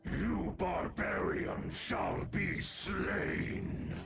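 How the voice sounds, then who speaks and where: Game zealot warrior: menacing, extremely croaky, very slow, swinging pitch. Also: male voice, wildly swooping pitch, full character voice. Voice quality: gravelly. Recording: music behind the voice.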